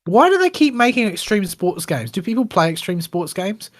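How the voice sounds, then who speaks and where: A man's voice: sits high, very animated, normal speed. A man in his thirties with a New Zealand accent and a slight lisp, co-hosting a casual gaming podcast with wry, quizzical asides. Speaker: podcast co-host. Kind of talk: casual chat.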